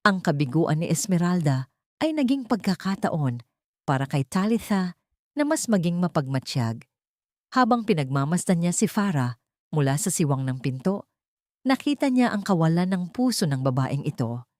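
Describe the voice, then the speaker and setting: A woman's voice: on the low side, big pitch swings, normal speed, darkish tone, no echo, studio quality. A woman with a Filipino accent narrating a dramatic scripted story as an audiobook reader.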